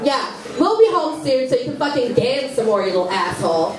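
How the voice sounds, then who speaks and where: A woman's voice: sits high, big pitch swings, fairly quick. A woman voicing a brash, foul-mouthed goth woman puppet in a live comedy act, bratty, taunting and sardonic. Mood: bratty, sarcastic. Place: small indoor club stage, far camera. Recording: roomy, distant mic in noisy crowd.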